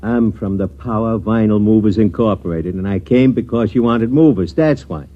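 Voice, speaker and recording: A man's voice: on the low side, normal speed, natural voice. An actor playing a brusque, wisecracking moving man in a 1950s radio drama. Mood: impatient. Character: gruff impatient male moving man. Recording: tinny old radio recording.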